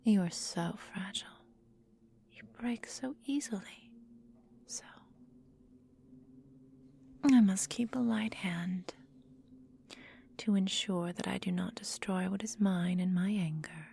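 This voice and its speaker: A woman voicing a menacing, possessive vampire mistress in a whispered ASMR roleplay, calm and deliberate, breathy, close to the microphone. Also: mid-range voice, a little hiss or hum. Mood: cold, simmering anger. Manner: slow, breathy, deliberate, coolly domineering.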